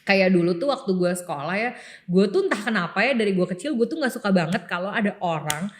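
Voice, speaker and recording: A young adult woman with a Jakarta Indonesian accent co-hosts a podcast, chatting casually and telling personal stories in an animated, slangy way. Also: mid-range voice, quick pace. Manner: animated storyteller, slangy, acts out remembered remarks. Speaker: podcast co-host. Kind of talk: casual chat, personal storytelling.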